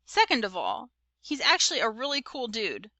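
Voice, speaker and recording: A woman's voice: fairly high pitch, wildly swooping pitch, moderate pace, sharp tone, no room sound, full character voice. A woman voicing a good-natured fifth-grade boy who narrates a storybook in a casual, conversational way. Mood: frank, casual. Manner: casual, conversational, youthful slang.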